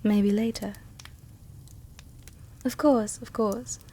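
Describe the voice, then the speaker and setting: A woman playing a worldly, softly dominant vampire countess in an intimate roleplay ASMR, with a soft, gentle, tenderly commanding way of speaking. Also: mid-range voice, fairly even intonation, extremely slow, slight background noise. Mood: gentle, coaxing.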